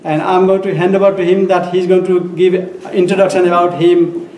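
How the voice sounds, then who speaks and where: A shy man opens the event in halting, broken English. His voice is high and barely moves in pitch, and the room around him is echoey. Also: little pitch movement, darkish tone, a little hiss or hum. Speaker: mosque representative opening the event. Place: mosque meeting room.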